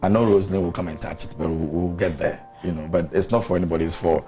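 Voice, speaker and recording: A man's voice: low-pitched, steady tone, fast, mellow, soft background music. A man hosting a morning TV show, chatty and teasing, chatting casually with a guest in a studio. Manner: chatty, teasing, laughs often.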